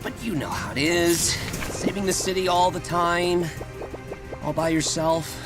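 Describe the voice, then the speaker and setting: A man's voice: sits high, measured pace. An American man playing an upbeat, wisecracking, nervy young superhero in a video game, chatty and rambling. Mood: chatty, excited. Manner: chatty, rambling, self-correcting, jokey. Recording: game music behind the voice.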